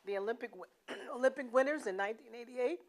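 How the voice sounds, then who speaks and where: A woman's voice: a little high, fast talker. A woman hosting a cooking show, chatting playfully with her guest in a studio kitchen. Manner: chatty and playful, many mm-hmms. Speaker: talk show host. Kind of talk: casual chat on a cooking show.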